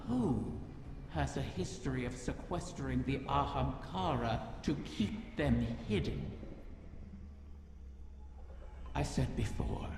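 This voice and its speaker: An actor voicing an ancient, cunning wish-dragon in a video game, speaking in slow, insinuating, theatrical questions. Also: mid-range voice, gravelly, full character voice. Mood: sly, insinuating. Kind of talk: scripted video game character dialogue. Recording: long cavernous reverb, music underneath.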